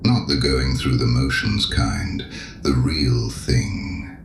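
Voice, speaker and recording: A man in an audio drama playing a sinister, ancient, knowing penitent, courteous and sardonic, with a very deep gravelly voice. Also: soft background music. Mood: challenging. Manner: deliberate, probing, sardonic, urbane. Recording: echoey room with audible background noise.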